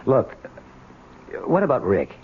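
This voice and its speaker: An actor playing a money-hungry, evasive middle-aged American son in an old-time radio drama, with hesitant, probing, colloquial speech. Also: male voice, mid-range voice, wildly swooping pitch, takes it slowly. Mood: evasive, uneasy. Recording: dull, noisy vintage radio broadcast.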